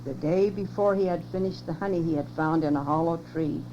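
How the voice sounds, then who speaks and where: An older woman gently reading a children's story aloud at home for her family, on a lo-fi home cassette tape with hum. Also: low voice, fairly quick.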